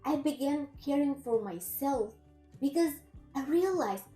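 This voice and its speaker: A Bulgarian-accented woman in her thirties speaks in a high, soft-toned voice, earnest and measured as she tells her story to camera. The room rings with echo, and light music sits underneath. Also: expressive. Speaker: personal trainer and nutritionist. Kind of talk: personal story vlog. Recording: roomy echo with faint music.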